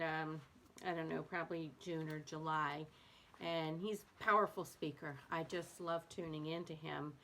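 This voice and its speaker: A woman hosting a read-aloud, sharing a self-help book in a casual, slightly halting way with small self-corrections. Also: on the low side, fairly bright tone. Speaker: host of a read-aloud series.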